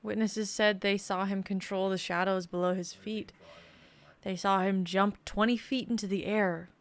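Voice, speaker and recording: A woman's voice: medium pitch, fairly even intonation, moderate pace, lightly acted. A young woman playing a protective, worried store worker in a dramatic script reading. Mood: bitter, uneasy. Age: young adult.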